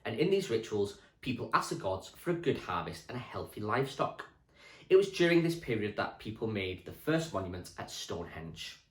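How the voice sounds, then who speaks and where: A man, a primary school teacher, reading a children's history book aloud with clear, expressive storytelling for children. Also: fairly low pitch, fairly quick. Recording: echoey room.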